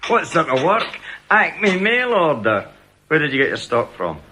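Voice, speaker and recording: An older actor playing a sardonic retired police detective in a radio drama, with a jovially menacing, mocking manner. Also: male voice, fairly high pitch, very animated, unhurried, sharp tone, slight background noise, heavily acted. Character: sardonic retired police detective, now company security officer.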